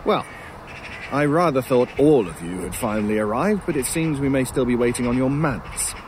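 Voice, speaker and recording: A man acts out a wry crow from an audio drama, courtly and theatrically polite in his teasing. He speaks in an arch, genteel manner. Cawing and outdoor noise are clearly heard behind him. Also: medium pitch, animated, moderate pace, natural voice. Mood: huffy. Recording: clearly noisy bed of bird sounds. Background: crows cawing, outdoor ambience.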